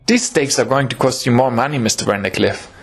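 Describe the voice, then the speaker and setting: A man playing a greedy, scheming small-town butcher in a horror audio drama, expressive and furtive. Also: medium pitch, fairly quick, creaky, faint music behind, close to an everyday voice. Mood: secretive.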